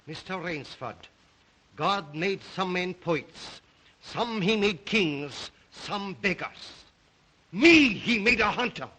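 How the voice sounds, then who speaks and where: Theatrical Russian count, high and bright. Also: male voice, expressive, husky, heavily acted, low-quality recording. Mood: proud, boastful. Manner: theatrical, deliberate, brooding, courtly. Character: aristocratic Russian count, suave, obsessive big game hunter. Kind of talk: 1930s film-era melodramatic acting.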